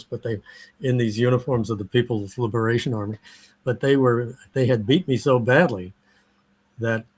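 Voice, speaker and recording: An older American man giving an oral history interview, speaking slowly and haltingly with many fillers and restarts. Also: mid-range voice. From United States.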